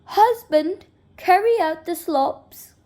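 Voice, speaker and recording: A kind old wife from a storybook, played by a woman narrator, speaks in a high, unhurried voice. She is caring and practical, and sounds decided about what should be done. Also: animated, dark tone, full character voice. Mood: bossy.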